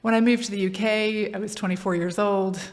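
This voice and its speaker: American woman with vocal fry. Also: mid-range voice, fairly quick. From Brazil. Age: 40s. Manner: animated, self-deprecating, many restarts. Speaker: podcast guest, agency founder. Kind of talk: casual interview chat.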